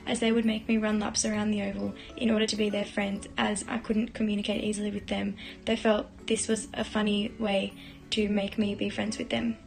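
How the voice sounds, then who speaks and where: Flat-voiced young woman, native Greek speaker. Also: medium pitch, vocal fry. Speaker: university student. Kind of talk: read-aloud personal account.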